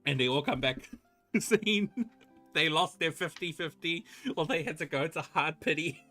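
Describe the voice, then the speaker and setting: A man's voice: on the high side, unhurried, bright, forceful tone. A man streaming a video game, chatting casually with viewers and commenting as he plays. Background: faint game music.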